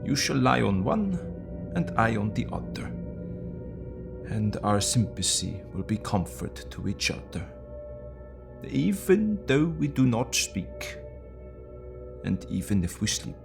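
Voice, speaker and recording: An older professor in an audio drama, fatherly and consoling. The voice is very gravelly and unhurried, soothing in every phrase, with clear music underneath. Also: fairly low pitch, big pitch swings, heavily acted. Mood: gently consoling. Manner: slow, soothing, deliberate.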